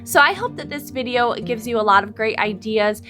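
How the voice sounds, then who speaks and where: A woman in her thirties, a little hoarse from losing her voice, explaining a craft project step by step in a friendly voice-over. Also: on the high side, lively intonation, normal speed, pushed and bright. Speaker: crafting channel host. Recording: voice-over with background music.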